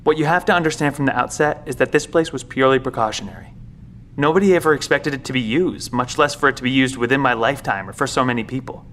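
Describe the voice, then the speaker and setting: A man acting in an audio drama as a weary, secretive professor with a measured, explanatory way of talking. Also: medium pitch, a bit bright, a little hiss or hum, natural voice. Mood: grave, explanatory. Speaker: professor, secret bunker guardian.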